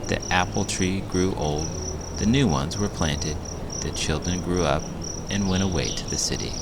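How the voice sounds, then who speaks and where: A man reading a children's storybook aloud in an expressive, dramatic, emphatic narrating style. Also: low voice, normal speed, very noisy. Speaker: children's book reader, elementary school teacher. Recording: music behind the voice. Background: prominent music.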